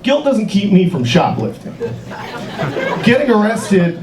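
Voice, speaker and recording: A man's voice: sits high, big pitch swings, measured pace, noisy background. A man in his late twenties doing a stand-up set in a comedy club, chatty and riffing with the crowd. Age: late 20s. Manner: conversational, riffing, crowd-work. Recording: roomy club from a distance, crowd laughter. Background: audience laughter.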